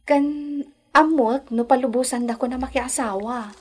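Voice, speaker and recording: An actress with a Filipino Ilocano accent playing a respectful nineteen-year-old daughter in love in a radio drama. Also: female voice, high-pitched, normal speed, husky. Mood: shy, affectionate.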